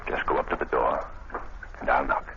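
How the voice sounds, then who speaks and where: An actor playing a grave, resolute male sleuth, speaking with measured authority in 1940s radio style. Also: very deep voice, flat delivery. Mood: calm, guarded. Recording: muffled, noisy old radio recording.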